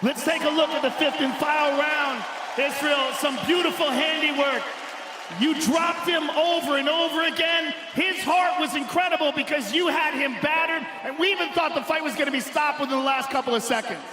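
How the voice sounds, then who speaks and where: A man interviewing a fighter at cage side, loud and excited as he shouts over the crowd. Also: very high voice, normal speed. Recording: echoey arena with crowd noise.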